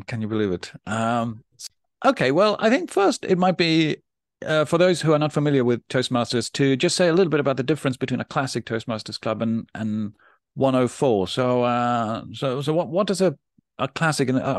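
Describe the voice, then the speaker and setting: A man from Norway hosts a podcast interview. He sounds measured and polite, often restarting his sentences as he frames each question. The recording is dry and close, with no room sound around him. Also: medium pitch, animated, dry recording. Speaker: podcast host and debate coach.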